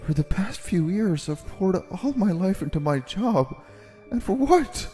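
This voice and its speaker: A man's voice: sits high, a little slow, soft background music. A man voicing an exhausted, polite young office worker who gets flustered, in an earnest manga dub style. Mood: shocked, dismayed. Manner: earnest, weary, expressive. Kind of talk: anime-style manga dub narration and dialogue.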